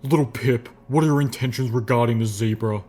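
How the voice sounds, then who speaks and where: A man's voice: mid-range voice, brisk, warm tone, lightly acted. A man voicing a stern, suspicious old soldier pony in armour, clipped and accusing, in an audiobook story. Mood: suspicious, indignant.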